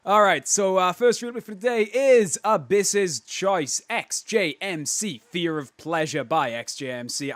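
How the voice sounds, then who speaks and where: Hoarse young guy hosting replay videos, kinda high voice, rambles and restarts a lot, dry sound. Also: a bit bright, no echo. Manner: rambling, joking, with many restarts. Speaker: host. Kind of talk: casual replay commentary.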